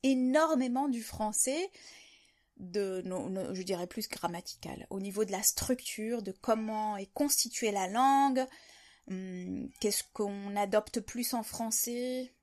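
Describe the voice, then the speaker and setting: A woman's voice: mid-range voice, takes it slowly, a little mellow. A woman talking to camera in an unscripted monologue, reflecting on her ideas and rephrasing them as she goes. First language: French. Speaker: video host sharing language-learning reflections.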